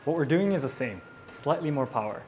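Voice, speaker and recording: A man's voice: a little high, expressive, brisk. A man with a Japanese accent chatting casually and enthusiastically as a vlog host in an indoor dojo. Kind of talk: casual bilingual chat. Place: indoor martial arts dojo. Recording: loud music over the talk. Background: music.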